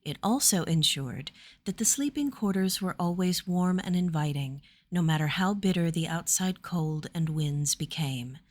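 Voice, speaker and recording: A woman's voice: on the low side, expressive, moderate pace, darkish tone. A woman storyteller reading scripted fantasy fiction narration.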